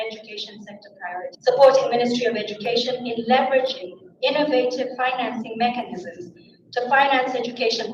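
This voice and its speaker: A woman giving a formal prepared speech in a roomy, dull recording. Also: a little high. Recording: roomy, distant, dull, faintly noisy recording.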